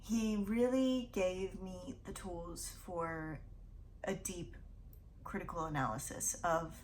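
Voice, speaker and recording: A woman's voice: on the low side, a little hiss or hum, low-quality recording. A woman in her thirties hosting a casual book vlog, chatting in a rambling, self-deprecating way. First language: English. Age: 30s. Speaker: YouTube book channel host. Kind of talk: casual to-camera vlog chat.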